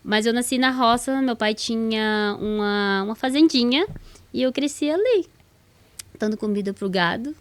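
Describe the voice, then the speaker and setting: A woman's voice: a little high, normal speed. A woman from Bahia, a slightly shy podcast guest telling her story and laughing easily. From Bahia, Brazil. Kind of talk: casual testimony interview.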